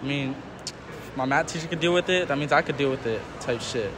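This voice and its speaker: A man's voice: medium pitch, fairly quick, noisy background. A young man answering a street interview outside a mall store, hesitant and rambling.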